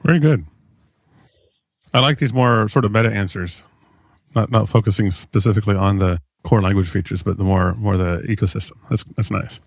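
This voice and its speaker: A man's voice: lowish voice, brisk, vocal fry, no room sound, clean studio sound. A man co-hosting a podcast, chiming in with brief, upbeat agreement. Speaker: podcast co-host. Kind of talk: casual podcast conversation.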